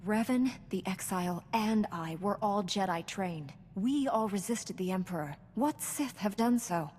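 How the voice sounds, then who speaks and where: An actress playing a young Jedi knight in a game, speaking in an earnest, composed and formal way. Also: female voice, mid-range voice, a little slow, darkish tone, natural voice. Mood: resolute. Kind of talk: dramatic game cutscene acting. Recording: long reverberant hall.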